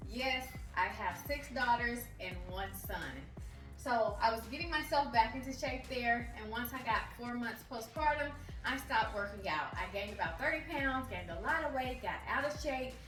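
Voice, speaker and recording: A woman's voice: on the high side, lively intonation, normal speed, a bit bright. A woman in her forties giving an encouraging fitness vlog, often checking in with the viewer. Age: 40s. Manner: encouraging, keeps checking in with "okay?". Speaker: fitness vlogger. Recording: echoey room, voice from a distance, music behind.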